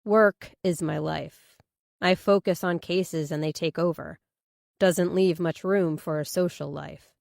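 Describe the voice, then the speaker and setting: A woman's voice: on the low side, steady tone, a little slow, creaky, darkish tone, no echo, clean studio sound. A woman voicing a bashful, awkward male police detective in hesitant, sheepish audiobook dialogue. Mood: sheepish, earnest.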